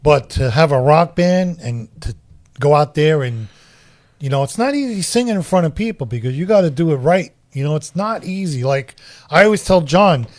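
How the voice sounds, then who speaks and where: A man with a fairly high voice co-hosts a relaxed talk show. His pitch moves around a lot, and he reacts with eager enthusiasm. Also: moderate pace. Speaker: co-host. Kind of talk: casual podcast chat.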